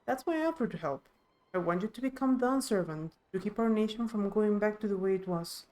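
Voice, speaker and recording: Woman doing a loyal young villager from a video game, thoughtful, measured, explains stuff, mellow voice. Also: medium pitch, animated, natural voice. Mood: earnest, steady. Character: thoughtful young villager, steadfast ally of the heir.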